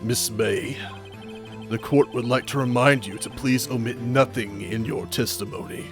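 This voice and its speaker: A man's voice: mid-range voice, fairly even intonation, a little slow, over music, lightly acted. Man playing a formal old judge. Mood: stern. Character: courtroom judge, stern but bawdy old man. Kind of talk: amateur video game dub.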